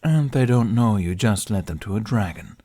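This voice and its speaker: A man's voice: lowish voice, lively intonation, moderate pace, mellow. A man voicing a wary, wry dragon companion in an audiobook. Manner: wry, demanding. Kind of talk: audiobook character voice.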